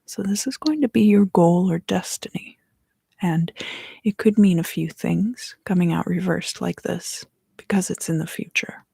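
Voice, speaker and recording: A woman giving a soft-spoken, partly whispered ASMR tarot reading in a breathy, gentle, unhurried way, pausing between short phrases close to the microphone. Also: on the low side, no room sound. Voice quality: breathy, hushed. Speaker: tarot reader hosting an ASMR video.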